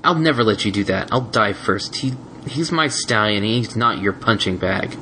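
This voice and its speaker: Male reader as a soft-spoken, loyal stallion; gentle and earnest. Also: lowish voice, normal speed, noisy background, natural voice. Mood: fierce. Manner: earnest, emotional.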